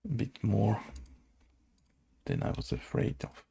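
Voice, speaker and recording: A man's voice: fairly low pitch, very animated, slow, vocal fry, warm tone, breathy edge. A man thinking aloud through a casual coding tutorial, hesitant and muttering to himself in short fragments. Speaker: game development tutorial host.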